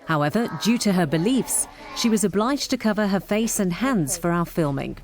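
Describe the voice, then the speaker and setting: A woman giving measured documentary narration. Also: medium pitch, animated, darkish tone. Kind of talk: scripted narration.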